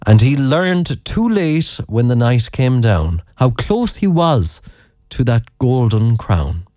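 A man's voice: mid-range voice, big pitch swings, takes it slowly, dark tone, dry recording, clean studio sound. An Irish man presenting a radio programme, chatting in a rambling way with fillers and self-corrections, and reading out a listener's poem. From Ireland. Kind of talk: late-night radio chat and poem reading.

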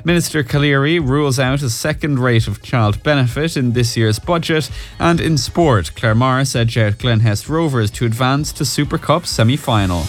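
A man presenting the morning news on regional radio, reading headlines in a measured, formal way and then questioning a guest. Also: medium pitch, faint music behind. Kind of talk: news bulletin reading and phone interview. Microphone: studio microphone.

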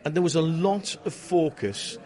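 A man's voice: on the high side, animated, normal speed, warm tone. A man hosting a casual interview, eagerly jumping in with questions and facts. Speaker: interviewer host.

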